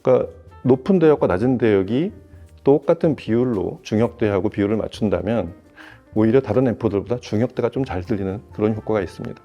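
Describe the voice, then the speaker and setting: A man giving a semi-formal, explanatory product review in a measured way, rephrasing as he goes. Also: on the low side, mellow, lo-fi sound. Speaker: audio equipment reviewer.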